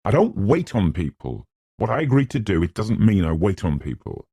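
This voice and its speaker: Man as a cold, forbidding housekeeper woman, raised pitch. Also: normal speed, vocal fry, slightly rough, no echo, heavily acted. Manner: cold, monotonous recitation.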